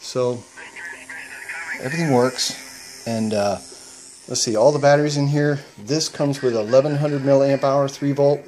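A man's voice: medium pitch, steady tone, measured pace, pauses often, darkish tone, low-quality recording. A man casually explaining his home-built gear in a plain, matter-of-fact way, recorded indoors at home. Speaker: paraglider showing his gear. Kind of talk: casual product demo.

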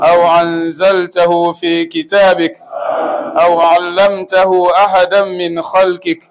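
Imam type, middle-aged man from Burkina Faso, high steady chant called out to a big hall full of worshippers. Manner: intoned, calling out loudly over the congregation. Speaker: imam leading prayer. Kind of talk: chanted supplication in prayer. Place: large mosque hall, indoors, congregation standing.

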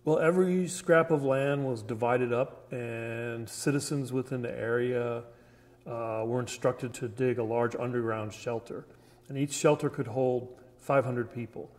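A man's voice: medium pitch, a little slow, a little mellow, natural voice. A man playing a grave disaster survivor giving testimony in a radio drama, in a reverberant space. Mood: grave, reflective. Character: grave male disaster survivor recounting the catastrophe. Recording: long reverberant hall echo.

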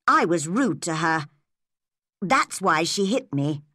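A woman's voice: fairly low pitch, sing-song, slow pace, sharp tone, dry recording, studio quality, heavily acted. A woman voicing a friendly schoolboy in a storybook, cheeky yet polite. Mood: apologetic. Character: cheeky but apologetic schoolboy, friendly, tall.

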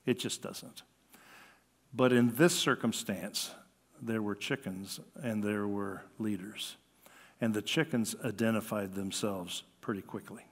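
Older American preacher in a reverberant hall. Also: male voice, lowish voice, fairly even intonation, measured pace, slightly rough. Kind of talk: sermon, conversational. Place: church sanctuary at a lectern, indoors.

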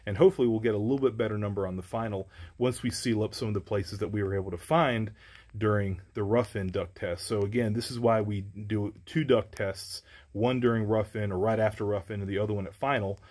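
A man's voice: lowish voice, steady tone, brisk, a little mellow. A man in his forties, an HVAC contractor, explaining a test in a practical, plainspoken way inside a house under construction, filmed on a handheld phone. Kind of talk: instructional job-site vlog.

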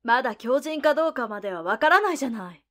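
Alluring, defiant adult woman, acted by a woman; fairly high voice, teasing and condescending, audio drama. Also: expressive, fast, fairly bright tone. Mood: cool, challenging. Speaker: voice actor. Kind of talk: anime-style voice drama acting.

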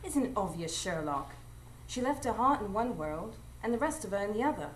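A woman's voice: medium pitch, very animated, brisk, close to an everyday voice. A woman playing a protective, plain-spoken motherly housekeeper in a live radio drama, chiding and earnest in a folksy way. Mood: chiding, concerned. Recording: roomy live stage, faint noise.

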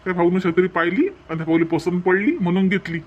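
A man's voice: sits high, fast, lo-fi sound. A man voicing a stubborn, haggling street peddler in a cartoon, with a Varhadi Marathi accent. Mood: defensive. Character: pushy middle-aged male street peddler. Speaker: umbrella seller.

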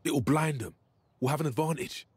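An actor playing a cocky, hyped young fire-wielding fighter in a game, with a casual swagger. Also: male voice, on the high side, moderate pace, a little mellow, husky, no room sound. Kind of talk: action game dialogue.

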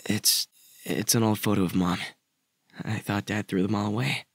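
A man voicing a soft-spoken, wistful teenage boy in a mystery game fan dub. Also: on the low side, moderate pace, vocal fry, no room sound, studio quality. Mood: subdued, wistful. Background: guitar music.

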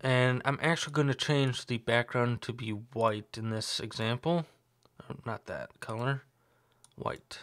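A young man with a slight sore throat, talking through a screen-recorded coding tutorial in a relaxed, explanatory way. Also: medium pitch, a little slow. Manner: relaxed, explanatory, thinks aloud with fillers. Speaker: tutorial host.

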